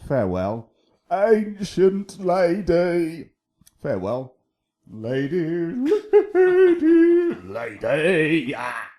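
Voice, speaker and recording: A man playing a young, mocking, bawdy gentleman wit in a theatrical, teasing manner, in a Shakespearean radio drama. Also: on the high side, huge pitch swings, full character voice. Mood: playful teasing. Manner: theatrical, teasing, drawn-out flourishes.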